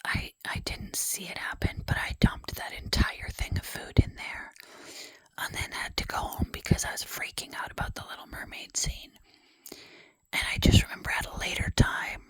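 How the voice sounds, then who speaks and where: A woman's voice: moderate pace, fairly bright tone, dry recording, poor audio. A woman whispering a rambling personal story in ASMR style, self-deprecating and full of fillers. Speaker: ASMR creator.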